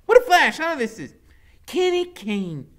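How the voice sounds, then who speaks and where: A man voicing a brash, giggly, excitable adult woman who mocks and repeats herself in a storybook reading. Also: very high-pitched, a little slow, pitched above the performer's own voice, full character voice. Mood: excited, mocking.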